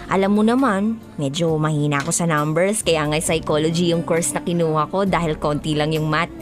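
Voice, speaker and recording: A woman's voice: deep voice, a little fast, over music, close to an everyday voice. A woman playing a stubborn, lovestruck young college student in a Filipino radio drama, girlish and pleading. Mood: earnest.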